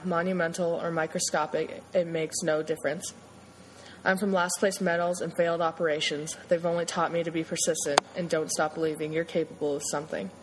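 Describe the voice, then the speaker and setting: A young woman reading her own poem aloud in a steady, earnest way. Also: lowish voice, a little hiss or hum, rough recording. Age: young adult.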